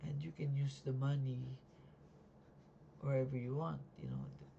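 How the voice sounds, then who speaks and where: A life insurance agent, still learning the trade, explaining policies in a casual home video at night. The voice is raspy and sleepy, and the talk is halting, with many fillers and restarts. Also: mid-range voice, very animated, warm tone, muffled recording, a little hiss or hum.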